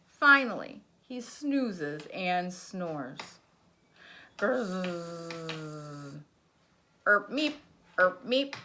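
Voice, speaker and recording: Female teacher reading to kids; unhurried, bright, very expressive, plays up the sound effects. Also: fairly high pitch, a little hiss or hum. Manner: animated, sound effects, asks listeners questions. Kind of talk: children's read-aloud.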